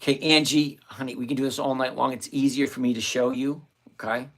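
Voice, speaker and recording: Gravelly-voiced man hosting a trading stream. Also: mid-range voice, steady tone, fairly quick. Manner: chatty, thinks out loud, answers viewers by name. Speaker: livestream host and options trader. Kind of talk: live-stream trading commentary, reading chat aloud. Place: home trading desk with several screens.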